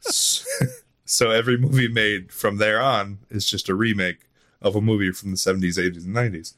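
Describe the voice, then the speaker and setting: A man speaking as an interview guest in casual chat, telling things informally and a little haltingly. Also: lowish voice, fairly even intonation, a bit bright. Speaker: interview guest, audio drama writer.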